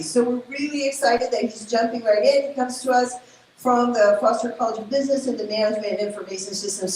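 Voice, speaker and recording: A woman with a Spanish accent addresses a crowd in a big event space, her voice a touch high. She talks informally and haltingly, with fillers and quick self-corrections. The sound bounces heavily around the hall, with a faint noise floor beneath. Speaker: program director presenting awards. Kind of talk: live event announcements.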